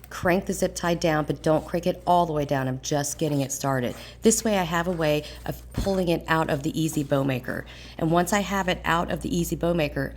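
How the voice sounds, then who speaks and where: Low-pitched woman, a bit congested, walking viewers through a craft project calmly, faint room hum behind. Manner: calm, plain, practical explaining. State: slightly congested voice. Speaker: craft tutorial host. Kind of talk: step-by-step craft instruction.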